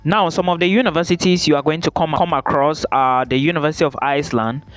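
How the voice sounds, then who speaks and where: A man speaks straight to his viewers in an advice vlog, his voice sitting a little high. He talks with insistence, repeats the points he wants to stick, and keeps checking in with a quick "okay?". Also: fairly even intonation, clear, resonant tone. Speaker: study-abroad YouTuber. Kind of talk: direct-to-camera advice vlog.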